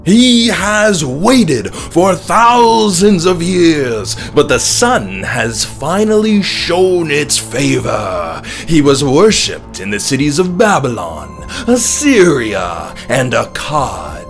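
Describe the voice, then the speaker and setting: A man voicing a fervent, menacing cult pastor in a horror audiobook, preaching in a booming, exultant, drawn-out way. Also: big pitch swings, vocal fry, faint music behind, heavily acted. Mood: fervent, shouting.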